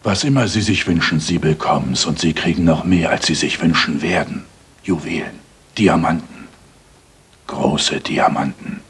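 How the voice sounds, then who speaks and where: An actor playing a coarse, pushy rich suitor in a radio play, insistent and leering, with a rough husky voice. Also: male voice, very deep voice, unhurried, fairly bright tone, exaggerated voice. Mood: urgent wooing. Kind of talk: dramatic German radio play acting.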